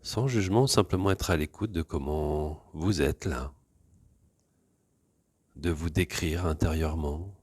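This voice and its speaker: A man teaches yoga in a low, slightly breathy and husky voice. He guides posture and breath gently and without hurry. The sound is close and dry. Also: dry recording. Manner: calm, unhurried, gently instructing. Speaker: yoga teacher leading a class.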